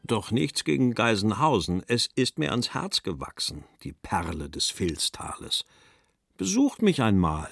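An actor reciting as an earnest, sparse post-war German writer in a radio feature, with measured, deliberate delivery. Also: male voice, lowish voice, very animated, vocal fry, no room sound, soft background music, full character voice. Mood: fond.

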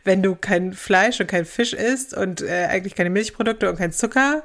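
A woman's voice: medium pitch, moderate pace, fairly bright tone. A young woman casually recounting her own experience as an interviewee, colloquial, with bits of English slang. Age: young adult. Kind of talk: casual spoken recollection.

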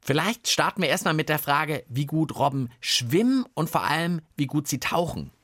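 Animated man hosting a kids' science podcast. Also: fairly high pitch, normal speed, no echo, clean studio sound. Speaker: podcast host. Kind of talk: lively scripted banter for a children's science podcast.

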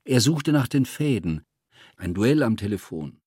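A man's voice: fairly low pitch, big pitch swings, unhurried, a little mellow, slightly rough, no room sound, clean studio sound, exaggerated voice. An actor playing a loyal, weary male presidential aide who gives terse, matter-of-fact answers in a scripted radio play interview. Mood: sober, matter-of-fact.

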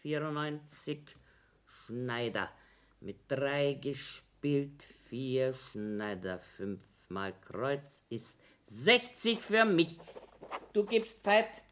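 Slow, dry hausfrau. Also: female voice, rumbling low, expressive, full character voice. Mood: complacent, matter-of-fact. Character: Mara, dry unhurried middle-aged Bavarian woman, card-playing hausfrau. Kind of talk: naturalistic radio drama acting.

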